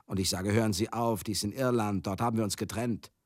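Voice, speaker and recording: An actor in a radio drama monologue, playing a garrulous, hard-drinking older showman who grieves as he recalls a lost friend, husky, halting and confiding. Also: on the low side, steady tone, no room sound, clean studio sound, close to an everyday voice. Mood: agitated recounting. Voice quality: husky, gravelly. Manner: halting, rambling, confiding, asks listeners questions.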